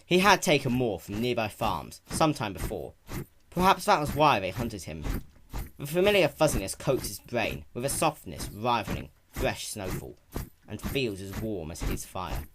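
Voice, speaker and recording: A man's voice: on the low side, moderate pace. A man reading fantasy fiction aloud as a dramatic, intense narration. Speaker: amateur story reader. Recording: clear steady ambient sound-effect noise behind. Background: continuous ambient sound effect.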